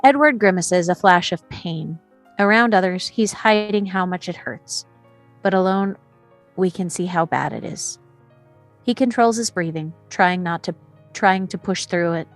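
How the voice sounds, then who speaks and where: A woman's voice: fairly low pitch, a little slow, faint music behind. A woman reading stage directions as the narrator of a screenplay.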